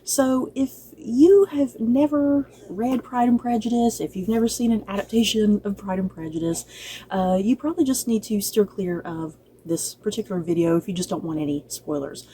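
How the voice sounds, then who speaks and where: A woman's voice: mid-range voice, moderate pace, mellow, slight background noise, rough recording. A woman giving a casual, unscripted review to viewers as a channel host, chatty and rambling, often circling back to repeat herself.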